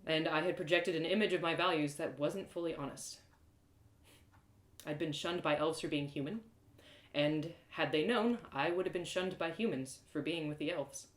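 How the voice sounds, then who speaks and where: A woman giving an expressive, theatrical reading of a first-person fantasy story as a storyteller. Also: sits low, normal speed. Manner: theatrical, dramatic phrasing. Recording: roomy echo.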